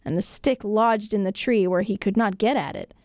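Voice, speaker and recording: A woman storyteller reading children's tales in an expressive audiobook narration style. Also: medium pitch, moderate pace, no echo, studio quality. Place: radio studio.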